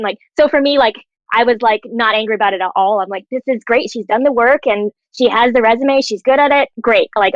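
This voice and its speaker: A woman's voice: a little high, dry recording, lo-fi sound. A woman chatting casually in a panel discussion, candid and informal, with plenty of "like" in her phrasing. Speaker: actor and writer on a panel.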